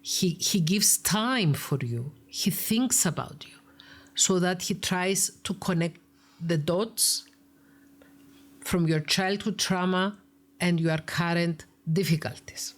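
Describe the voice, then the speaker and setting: A woman's voice: fairly low pitch, slow. A woman psychologist with a Greek Cypriot accent, reading out a viewer's email and commenting on it in an earnest, emphatic way, sometimes dropping to a whisper for stress. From Cyprus. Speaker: psychologist and YouTube host.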